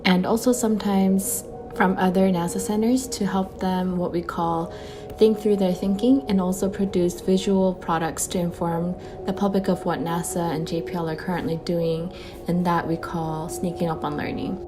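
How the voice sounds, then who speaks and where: A woman with a slightly low voice speaks in casual interview talk. She is chatty and enthusiastic and uses fillers. Clear music runs behind her. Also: fairly even intonation, moderate pace. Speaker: visual strategist.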